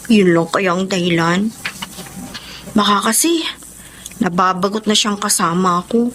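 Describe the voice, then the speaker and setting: A woman's voice: mid-range voice, measured pace, a bit bright, slight background noise, close to an everyday voice. A woman playing a devoted, sensitive young Filipino stepdaughter in a radio drama, earnest and emotive. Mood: sad, doubtful.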